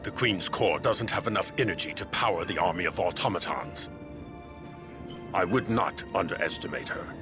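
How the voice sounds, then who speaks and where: A man's voice: deep voice, normal speed, music in the background, natural voice. An actor playing a knowing, grave inventor craftsman in a fantasy video game cutscene.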